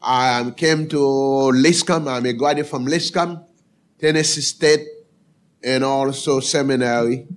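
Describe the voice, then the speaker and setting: An older man with a Haitian accent, speaking as a missionary preacher giving an informal presentation in halting, short phrases of non-native English. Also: medium pitch.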